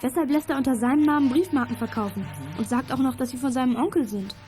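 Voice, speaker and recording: Quick, high-voiced girl detective, played by a woman. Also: dark tone, soft background music, close to an everyday voice. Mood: puzzled. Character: bright, practical young girl detective. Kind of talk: children's radio play acting.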